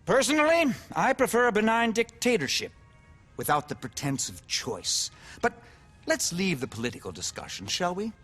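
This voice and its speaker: Smug video game politician, highish voice, wildly swooping delivery, pompous, glib, theatrically candid. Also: male voice, very animated, normal speed, a bit bright, soft background music, heavily acted. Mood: smug, scheming. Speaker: politician character. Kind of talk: video game cutscene acting.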